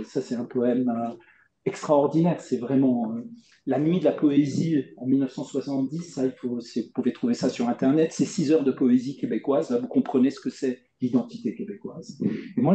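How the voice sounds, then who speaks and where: Middle-aged guy talking slow and halting, lots of ums and restarts, dark mellow voice, dull echoey room sound. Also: mid-range voice. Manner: halting, many fillers and repeated words. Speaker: playwright guest. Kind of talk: conversational interview answers. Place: stage event before audience. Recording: dull lo-fi roomy recording.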